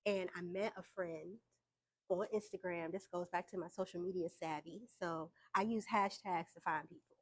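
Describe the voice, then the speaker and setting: A young adult woman, telling her story as an interview guest in a casual, relaxed way with fillers and easy laughs. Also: lowish voice, normal speed. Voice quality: soft voice. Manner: relaxed, frequent fillers, laughs between points.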